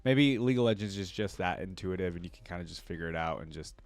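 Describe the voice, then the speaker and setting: A man's voice: sits low, expressive, fast talker, no room sound. Casual male reactor, close dry sound. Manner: rambling, thinking aloud. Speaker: reaction video host.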